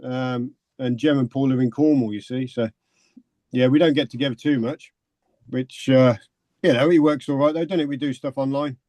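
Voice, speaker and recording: A man's voice: medium pitch, steady tone, moderate pace, mellow, no echo, highs cut off. A man who plays drums, talking in a laid-back, easygoing way. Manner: laid-back, laughing easily. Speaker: drummer. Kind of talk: casual interview.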